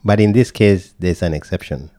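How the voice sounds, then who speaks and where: Kenyan guy on a podcast, lowish voice, deadpan jokes, dry mic. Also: moderate pace, no echo. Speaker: podcast guest. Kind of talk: casual interview.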